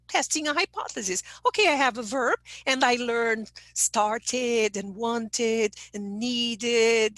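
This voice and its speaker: Woman, high voice, teacher trainer, explanatory, dry sound. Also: fairly bright tone, dry recording. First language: Portuguese. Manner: explanatory, checks in with okay. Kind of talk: online presentation.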